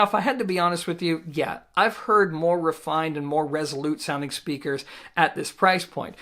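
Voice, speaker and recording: A man in his thirties with a fairly high-pitched voice presents a gear review. He talks conversationally to camera as host, laying out his points in a measured, explanatory way. Key words get small, deliberate pauses. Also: fairly bright tone. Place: small indoor home listening room.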